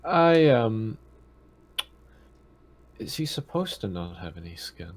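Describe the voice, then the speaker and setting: A man's voice: fairly low pitch, very animated, takes it slowly, a little hiss or hum. A man chatting casually as a tabletop game player, hesitant and stammering, often repeating himself.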